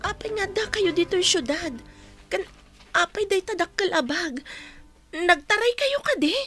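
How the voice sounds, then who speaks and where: An actress with a Filipino accent playing a guilty, whiny younger sister, a student, in an Ilocano radio drama. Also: female voice, very high-pitched, expressive, measured pace, a bit bright, faint music behind, full character voice. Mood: wary, surprised.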